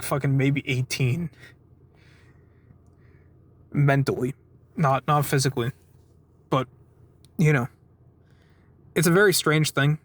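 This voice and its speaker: A man's voice: on the high side, no room sound. A man in his twenties talking in a casual, rambling vlog style, telling stories informally with some swearing and acting out what people said. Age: 20s. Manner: acts out quoted exchanges. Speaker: vlogger giving a weekly update.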